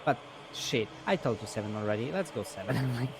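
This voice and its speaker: A man's voice: mid-range voice, animated, brisk, gravelly, noisy background. A man chatting casually and improvising in an online roleplay game, rambling and hesitant, with frequent swearing.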